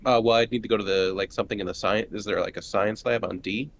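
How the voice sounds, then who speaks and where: Low-voiced man playing a tabletop game. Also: fairly even intonation, quick pace. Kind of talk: casual chat during a game. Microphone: online group call. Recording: faint background noise on online call.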